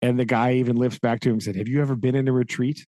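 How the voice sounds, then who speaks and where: A man talks through a story in a loose, conversational way, peppering it with "right?" and chuckles. His voice sits at an ordinary pitch, and the recording is dry and close. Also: steady tone, racing, warm tone, no echo. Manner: retelling a story with frequent "right?" and laughs. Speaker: podcast co-host. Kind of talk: casual book discussion.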